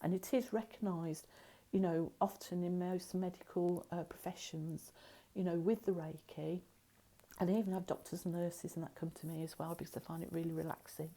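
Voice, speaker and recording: A woman's voice: on the low side, fairly even intonation, moderate pace, dark tone. A middle-aged woman giving a recorded Reiki lesson in a chatty, rambling way, full of asides and fillers. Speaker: Reiki teacher.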